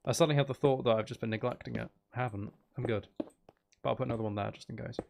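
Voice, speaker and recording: A man streams a game, chatting casually and thinking out loud as he goes. His voice sits at a middling pitch with a noticeable creak. Faint noise and clicking sit behind him. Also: steady tone, rapid-fire. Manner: musing, thinking aloud to viewers. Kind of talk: casual livestream gaming commentary.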